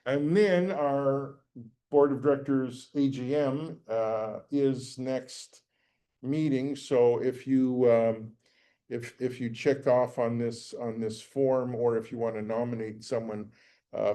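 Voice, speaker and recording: A man's voice: mid-range voice, fairly even intonation, measured pace, mellow. A man hosting a nature club meeting, giving casual announcements with lots of fillers and restarts. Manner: rambling with many fillers and restarts.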